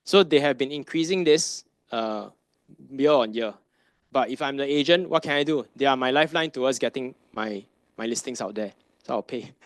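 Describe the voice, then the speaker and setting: A man's voice: medium pitch, moderate pace, no room sound. A man presenting a masterclass in a conversational way, often tagging "right?" and asking rhetorical questions. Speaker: masterclass presenter, finance advisor. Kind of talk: conference lecture.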